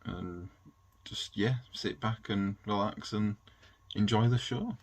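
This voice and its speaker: A man with a low-pitched voice chats casually as a voice actor. He speaks off the cuff, with a jokey, conversational manner. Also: takes it slowly. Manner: chatty, jokey. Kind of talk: casual unscripted talk.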